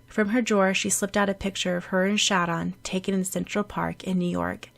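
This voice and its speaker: A woman narrator reads fiction aloud for an audiobook. Her voice sits at a middle pitch, and she tells the story with expression. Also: fairly even intonation, a little fast, gravelly.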